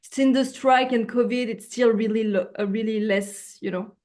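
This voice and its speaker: French-accented woman, fairly high voice. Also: steady tone, moderate pace, a little mellow. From France. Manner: searching for words, frequent fillers and restarts. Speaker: actress, guest. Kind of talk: casual interview over video call. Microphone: video call.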